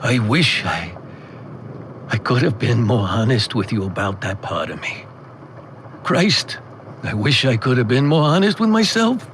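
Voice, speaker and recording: Man playing tender, earnest older father, lively intonation. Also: medium pitch, a little slow, pauses often, slight background noise. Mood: regretful. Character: loving, gentle widowed father nearing old age. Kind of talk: audio drama dialogue.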